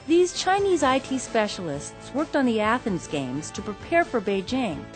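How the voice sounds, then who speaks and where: Woman reporter, lively news narration. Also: a little high. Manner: clear, measured delivery. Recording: voice-over with music bed.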